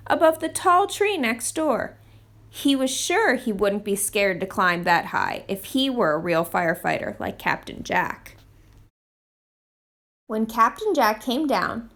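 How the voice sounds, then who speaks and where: A woman reading a children's picture book aloud in an expressive storytime style. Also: on the high side. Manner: expressive read-aloud delivery. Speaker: storytime reader, literacy program manager.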